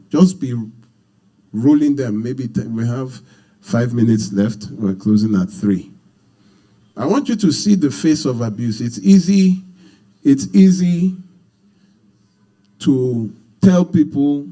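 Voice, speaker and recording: Man, Nigerian accent, medium pitch, very muted dark tone, emphatic public speaker, unscripted. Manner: earnest, emphatic, repeats phrases. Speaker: speaker leading a marriage seminar. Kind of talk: unscripted public talk to an audience.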